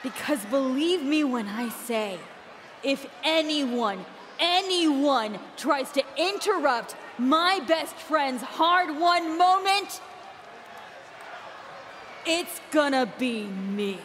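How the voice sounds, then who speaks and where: A woman's voice: high-pitched, lively intonation, drawn-out words, fairly bright tone, slight background noise, heavily acted. An actress voicing a bitter, jealous young woman who runs an indie wrestling promotion, talking in a cold, taunting way in a video game cutscene. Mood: defiant. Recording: roaring arena crowd behind.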